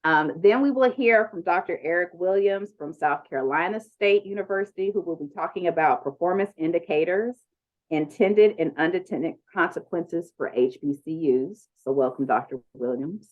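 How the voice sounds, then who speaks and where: Low-voiced woman moderating a video call. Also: normal speed. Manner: relaxed, chatty, with many ums. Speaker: panel moderator. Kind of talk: online conference panel introductions. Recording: dull lo-fi video call audio.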